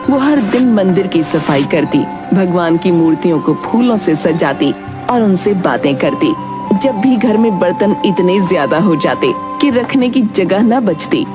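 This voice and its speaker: A woman narrating a scripted children's story as the storyteller. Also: fairly low pitch. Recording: background music under the voice.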